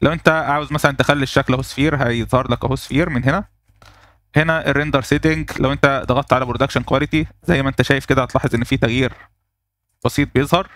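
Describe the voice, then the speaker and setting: A man with an Egyptian Arabic accent walking through a software tutorial step by step, pausing on fillers as he works. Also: medium pitch, steady tone. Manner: explains step by step, thinking aloud with fillers. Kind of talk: screen-recorded software tutorial.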